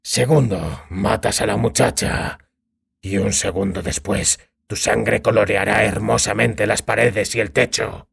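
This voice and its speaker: A man voicing a cold, controlled witcher in a fantasy audiobook, speaking in a measured, deliberate way. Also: lowish voice, fairly even intonation, creaky, a bit bright, slightly rough, exaggerated voice. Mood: cold threat. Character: cold, controlled male witcher bargaining for time. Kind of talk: dramatized fantasy audiobook dialogue.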